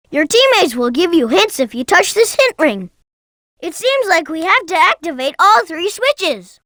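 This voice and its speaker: A performer voicing an eager, helpful young boy fox in a video game, speaking earnestly and clearly in scripted lines. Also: extremely high pitch, bright, forceful tone, dry recording, full character voice. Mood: helpful, curious.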